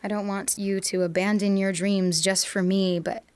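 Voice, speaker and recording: A young woman acting an insecure, fearful student desperately in love, in an emotional, halting audio drama monologue. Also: medium pitch, flat delivery, a little mellow, a little hiss or hum, lightly acted. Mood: fearful, hurt.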